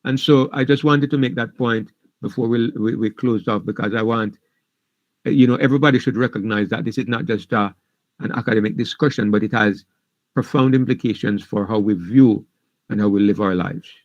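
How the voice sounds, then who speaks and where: A man's voice: on the low side, a little fast, no room sound. A man speaking earnestly at a seminar, stumbling now and then. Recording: dull dry lo-fi audio.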